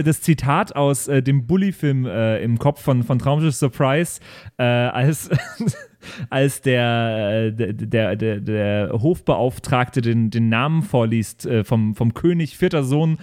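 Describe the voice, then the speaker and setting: A man's voice: medium pitch, a little slow, no room sound, studio quality. A man hosting a casual role-playing podcast, chatting and moderating among fellow players.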